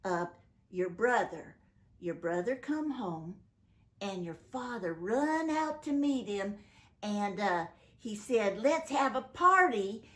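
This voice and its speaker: A woman voicing a humble servant who explains in a hesitant, halting way. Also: mid-range voice, expressive, a bit bright, pitched below the performer's own voice.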